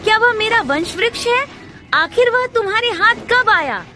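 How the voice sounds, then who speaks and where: An actress voicing a lively, excitable young woman in a dubbed video game cutscene, speaking emphatically. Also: very high voice, moderate pace, music in the background.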